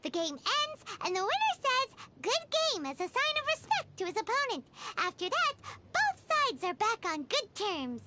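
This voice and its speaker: A woman voicing a tiny, childlike fairy companion in an anime video game, naive, excitable and bubbly, with a squeaky voice. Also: normal speed, hardly any pauses, heavy background noise, heavily acted. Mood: excited. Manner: bubbly, exaggerated, speaks of self in third person.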